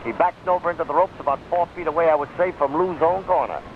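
A man's voice: fairly high pitch, quick pace. An American man giving live blow-by-blow boxing commentary in the style of 1930s radio. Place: ringside at an outdoor stadium. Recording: tinny, noisy 1939 radio broadcast recording.

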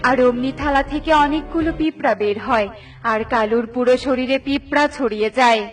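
A woman's voice: high voice, brisk, faint music behind. A woman narrator reading a scripted children's story.

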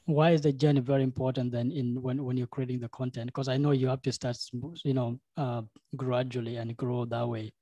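Young man, podcast host, warm dark tone, dry close sound, stops and restarts a lot. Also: mid-range voice, level tone, no echo. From South Sudan. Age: young adult. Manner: halting, repeats words, many "like" and "you know" fillers. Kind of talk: casual interview. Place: home studio room. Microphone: studio microphone with headphones.

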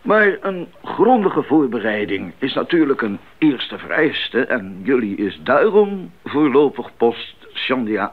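An actor playing an older missionary doctor in a radio drama, an earnest and genial mentor who explains things deliberately and a little formally. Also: male voice, medium pitch. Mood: genial, explanatory. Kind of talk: 1980s radio drama acting. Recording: muffled band-limited 1980s radio recording.

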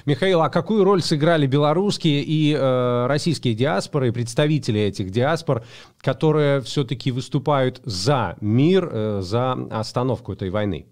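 A man in his thirties hosts an interview from a small studio with a studio microphone, his voice at an ordinary middle pitch. He asks his questions briefly and listens attentively. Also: normal speed, darkish tone. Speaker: interview host. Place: small studio with purple acoustic panels. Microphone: studio mic with headphones.

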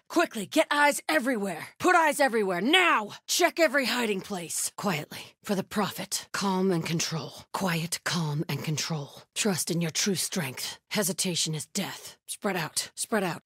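An actress playing a fierce, menacing cult soldier, giving terse commands in video game combat barks. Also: female voice, medium pitch, a bit bright, breathy edge, no room sound, clean studio sound. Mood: hushed menace. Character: fierce female cult soldier hunting her enemy.

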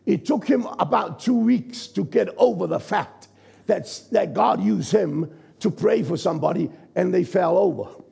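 A man in his sixties, a pastor from New Zealand, preaching a sermon from a church stage with a croaky, gravelly voice and a conversational manner. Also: high-pitched, unhurried, warm tone. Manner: conversational preaching, checks in with the congregation. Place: church stage, large indoor hall. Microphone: stage mic at a lectern, far camera.

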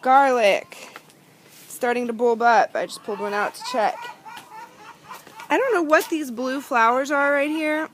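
An upbeat young woman with a fairly high voice walks through her backyard garden, chatting and pointing out plants. She records on a handheld phone outdoors, so noise and chickens clucking can be heard behind her. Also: measured pace, long pauses. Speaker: vlogger giving a garden tour. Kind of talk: casual walking tour narration. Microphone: phone camera held in hand. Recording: outdoor phone audio with background noise, hand over mic at times.

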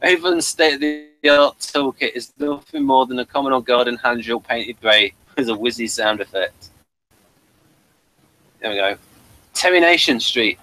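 Give this to a man with a medium-pitched voice, hosting an informal review. He rambles a little and hesitates as he works through his thoughts in a chatty style. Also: a little slow, pushed and bright, rough recording. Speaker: review show host. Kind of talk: casual review chat.

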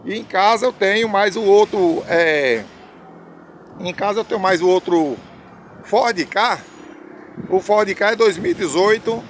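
A man, pitching used cars in chatty, repetitive salesman patter, filmed on a phone at an outdoor car lot. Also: a little slow, long pauses, slight background noise. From Brazil. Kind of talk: casual sales pitch on camera. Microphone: phone camera at arm's length.

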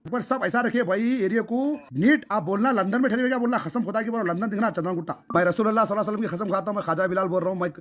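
Fast, high-pitched Hyderabadi man. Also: a bit bright. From Hyderabad, India. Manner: insistent, repetitive, emphatic. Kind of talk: phone conversation, impassioned persuading. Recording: recorded phone call.

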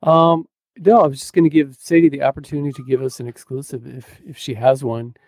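A middle-aged man with a noticeable fry in his voice co-hosts a podcast. He talks casually and colloquially, close to the mic, with a very dry sound. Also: medium pitch, steady tone, brisk, a little mellow, dry recording. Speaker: podcast co-host. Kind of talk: casual chat. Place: small cluttered home room. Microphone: close studio mic on boom arm.

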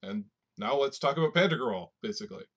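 A man with a medium-pitched voice chats as a podcast co-host. He talks about books in a casual, informal way, with loose phrasing and conversational tags. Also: animated, fast talker, husky. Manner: informal, loose phrasing with "like", "right?" and "you know". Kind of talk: casual conversational book discussion.

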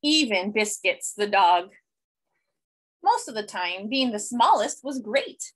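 A woman in her thirties reading a children's picture book aloud expressively, with deliberate, simple phrasing and emphasis. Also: mid-range voice, expressive, a bit bright. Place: small indoor room.

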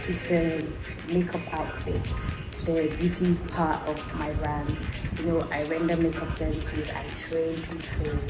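Chatty Nigerian woman, echoey room, music. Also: sits low. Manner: chatty, laughs often, wanders into asides. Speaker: vlogger. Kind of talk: casual chat to camera.

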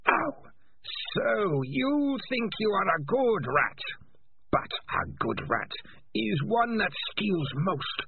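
A gravelly, filtered rat king. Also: mid-range voice, big pitch swings, takes it slowly, heavily acted. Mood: raging. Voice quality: gravelly, raspy, hissing. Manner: menacing, hypnotic, swinging from whisper to rage. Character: sinister male rat king, scheming and cruel. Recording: dry, tinny filtered voice.

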